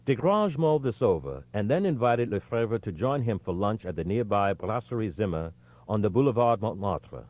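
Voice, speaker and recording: A man with a lowish voice narrates an audiobook in a scripted, storytelling read. His intonation swings widely, with emphatic phrasing. The audio is slightly dull and lo-fi. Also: very animated, normal speed, a little mellow, no echo. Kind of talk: scripted narration, reading a history book aloud.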